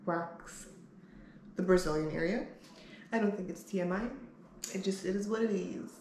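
A woman's voice: medium pitch, darkish tone. A woman in her thirties chatting casually to camera as a vlogger, candid and rambling. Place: bare-walled room at home, indoors. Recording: roomy echo, clear background noise.

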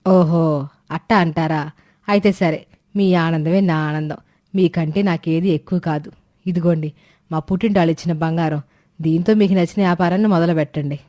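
A woman's voice: lowish voice, steady tone, normal speed, mellow, dry recording, clean studio sound, natural voice. A woman playing a devoted, plain-spoken village wife in a moral story, with homely colloquial speech. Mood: agreeable. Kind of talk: animated moral-story dialogue.

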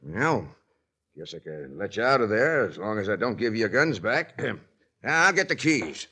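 An actor playing a stubborn old frontier sheriff with a folksy drawl in a 1950s radio western. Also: male voice, on the low side, big pitch swings, normal speed, noisy background. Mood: suspicious. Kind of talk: 1950s radio drama acting.

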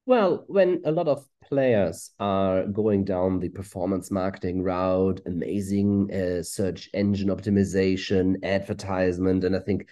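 A man in his forties with a German accent, a guest author answering interview questions quickly with frequent fillers. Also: low-pitched, warm tone. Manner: fast, halting with fillers. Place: room with purple lighting.

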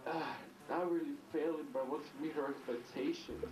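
A man's voice: a little high, steady tone, brisk, darkish tone. A teenage male student speaking anxiously and hesitantly. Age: teens. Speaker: high school student. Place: school office, recorded through a doorway. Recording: distant, echoey, noisy school office.

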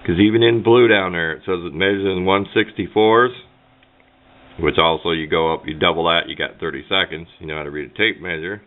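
Man, middle-aged, deep voice, casual explainer, rambling, plain-spoken, muffled lo-fi sound. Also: steady tone, brisk. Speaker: hobbyist demonstrating a homemade radio antenna build. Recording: low-fi muffled sound with clear background noise.

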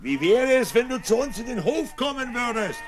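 An actor playing a hearty, gruff, teasing farmer uncle in a German children's radio play. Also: male voice, very high voice, lively intonation, normal speed. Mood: teasing, kindly. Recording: 1970s record with noisy farmyard sound effects.

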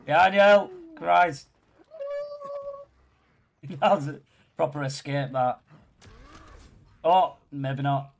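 Raspy man, slow and gravelly. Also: on the high side, noisy background. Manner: excitable, laughing, swearing. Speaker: streamer. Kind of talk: casual gaming banter.

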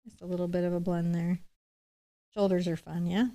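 A woman's voice: lowish voice, flat delivery, a little fast, warm tone. A middle-aged woman giving a conversational step-by-step painting lesson, chatty and explanatory with reassuring asides. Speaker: painting instructor, host.

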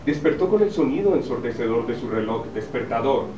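A man's voice: mid-range voice, lively intonation, fairly quick. A man reading scripted radio drama narration aloud. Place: TV studio rehearsal set, indoors. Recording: echoey distant camcorder sound, muffled, noisy.

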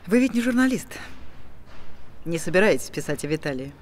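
An actress playing a composed, guarded middle-aged widow who speaks in a controlled, terse way. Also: female voice, lowish voice, very animated, fairly quick, a little hiss or hum.

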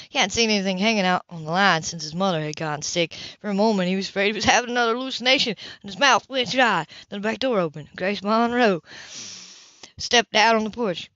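A woman's voice: medium pitch, a little fast, pushed and bright, dry recording. A woman narrating a novel as an amateur reader, occasionally stumbling and rereading phrases. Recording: dull lo-fi home recording.

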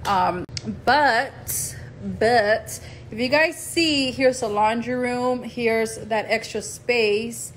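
A woman's voice: a little high, extremely slow, slight background noise, rough recording. A woman giving a casual tour of her house on a handheld phone, chatting with fillers and trailing thoughts. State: out of breath. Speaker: home vlogger. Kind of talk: casual home tour vlog. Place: two-story house indoors, then garage. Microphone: phone camera held in hand.

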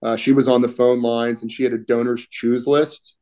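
A middle-aged man talking casually as a guest on a video-call interview, with many fillers and frequent throat-clearing. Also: medium pitch, level tone, moderate pace, mellow. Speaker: union political director, guest. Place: home room, indoors.

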